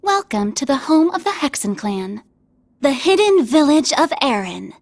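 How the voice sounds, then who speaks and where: A woman's voice: high-pitched, very animated, unhurried, exaggerated voice. A woman playing a haughty, knowing elder of a magical clan in a fantasy video game, with lofty, teasing manner. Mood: haughty, explanatory. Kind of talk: dramatic video game acting.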